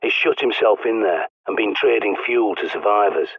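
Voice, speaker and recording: Blunt, commanding middle-aged survivalist for a game: low, rough voice over a crackly radio link, dry sarcasm. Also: male voice, normal speed, no echo. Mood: grave, explanatory. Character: gruff, bossy middle-aged British survivalist guiding by radio. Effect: radio transmission filter. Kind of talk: video game voice lines. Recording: tinny, band-limited radio with static.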